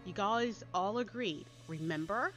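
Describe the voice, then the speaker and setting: A woman's voice: mid-range voice, unhurried, voice pushed up, lightly acted. An American woman voicing a bossy, breezy young woman leading a spell group in a story. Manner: brash, emphatic, commanding. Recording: dull lo-fi audio under loud music.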